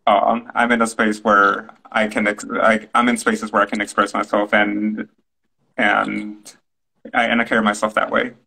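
Low, bright-toned man, hesitant. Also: flat delivery, moderate pace, creaky, husky, poor audio. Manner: hesitant, reflective, searching for words. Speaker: panelist. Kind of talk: casual panel discussion. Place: room at home, indoors. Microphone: video call.

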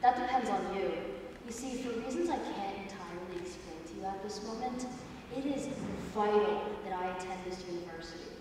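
A woman's voice: brisk, natural voice. A young woman acting on a stage as a pushy young man applicant who turns ominous and cryptic. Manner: ominous, pushy, low menacing asides. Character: intense, scheming male applicant named Ben. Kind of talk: student stage play. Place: theater stage, audience. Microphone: far camera in the audience. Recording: echoey hall from far off, faint noise.

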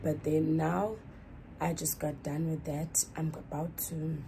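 A woman's voice: deep voice, flat delivery, slow, noisy background. A young woman, chatting to her phone camera in a small dorm room in a rambling, self-correcting way. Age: young adult. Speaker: student vlogger. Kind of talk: casual vlog chat to camera. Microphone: phone camera at arm's length.

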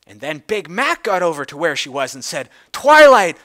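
A bright, forceful voice gives a theatrical reading of a story. The pitch swings widely and key words are drawn out with storybook emphasis. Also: expressive, fairly quick.

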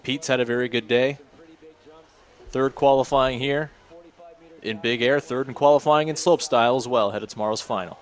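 A man's voice: mid-range voice, moderate pace, long pauses. A man doing live play-by-play commentary at a ski event, steadily reading out scores and tricks. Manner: steady, informative, reads out scores.

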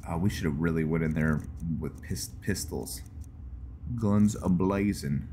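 Male game streamer, low and unhurried, casual and rambling, thinking aloud. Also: dark tone, slight background noise. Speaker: host of a gaming roleplay series. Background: background music.

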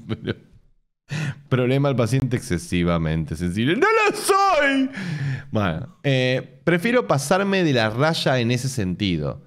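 A man in his thirties with an Argentine accent, reading a post aloud on a home stream and breaking off for sarcastic, laughing asides. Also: medium pitch. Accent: Argentine Spanish. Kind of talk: casual live-stream reading with mocking asides. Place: home room with bookshelves.

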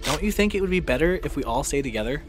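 A man's voice: a little high, animated, quick pace, over music, close to an everyday voice. An actor in an audio drama playing a hesitant, apologetic young man with a tentative, conciliatory manner. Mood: uneasy, placating. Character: hesitant, apologetic young man caught between feuding crewmates.

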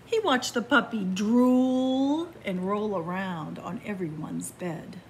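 A woman reading a picture book aloud to children in a library, with slow, expressive storytelling, hushed whispers and excited rises. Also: mid-range voice, a little hiss or hum. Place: library in front of bookshelves. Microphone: camera facing the book.

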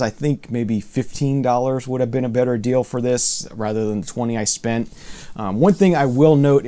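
Mellow-voiced man reviewing records, rambling. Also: medium pitch. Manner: relaxed, rambling, many fillers and restarts, mildly exasperated. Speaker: vinyl collector vlogger. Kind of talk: casual product review to camera. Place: room with record shelves, indoors.

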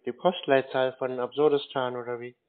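A man's voice: mid-range voice, little pitch movement, moderate pace. Hesitant man on a tinny phone line. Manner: naive, hesitant, stalling. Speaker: prank caller answering phone scammers. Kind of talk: slow, confused-sounding phone conversation.

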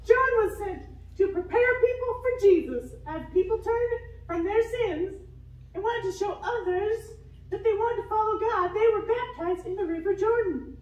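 A woman's voice: extremely high pitch, moderate pace, darkish tone, muffled recording. A woman voicing a cheery, encouraging puppet Sunday-school teacher with a singsong delivery. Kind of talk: children's church puppet show. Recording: echoey room, distant mic.

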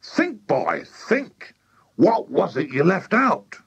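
A man voicing an excitable, blustering, impatient father in a children's story, sputtering with excitement. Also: mid-range voice, normal speed, husky, pitched above the performer's own voice. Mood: exasperated.

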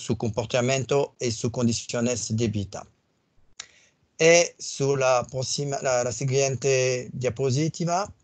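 A man speaking Spanish as a second language with a foreign accent, presenting a technical webinar in a slow, halting way with frequent fillers. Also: mid-range voice, no room sound. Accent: non-native Spanish. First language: not Spanish.

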